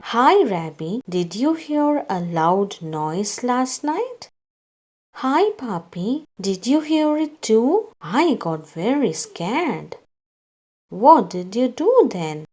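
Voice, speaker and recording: Female read-aloud storyteller for small children. Very unhurried pacing, animated intonation, scripted character dialogue. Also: a little high. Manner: slow, deliberate delivery for young children.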